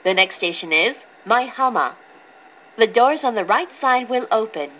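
A woman reading a scripted, pre-recorded train announcement in a formal, clearly enunciated manner. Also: on the high side, animated, takes it slowly, a bit bright, highs cut off. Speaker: English-language train announcer. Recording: recorded aboard a train, clear background noise.

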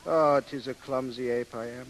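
An actor playing a boastful, smitten Irish sailor with lilting, flowery talk in a 1930s radio drama. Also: male voice, mid-range voice, fairly even intonation, moderate pace, lightly acted. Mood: boastful, admiring. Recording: hissy 1930s radio broadcast.

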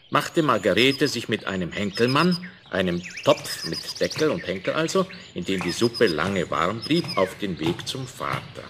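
A man narrating a fairy tale in a measured, expressive way, addressing the listener, on an old vinyl record. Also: on the low side. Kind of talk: scripted fairy-tale storytelling. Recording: crackly 1970s vinyl record transfer.

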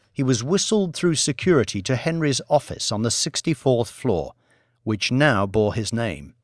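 A man reading fiction as an audiobook narrator, in a measured, dramatic storytelling manner. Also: medium pitch, no room sound, clean studio sound.

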